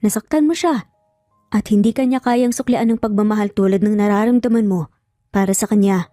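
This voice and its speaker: A woman's voice: mid-range voice, brisk, mellow, studio quality, natural voice. A woman with a Filipino accent voicing an anxious, heartbroken young bride in an audio romance story, subdued and earnest. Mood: sorrowful. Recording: roomy echo, faint music behind.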